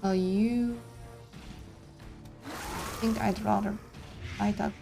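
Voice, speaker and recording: A woman, streaming a game and thinking aloud in a casual, halting way. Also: mid-range voice, fairly even intonation, gravelly, over music. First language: Dutch. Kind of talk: casual live-stream commentary.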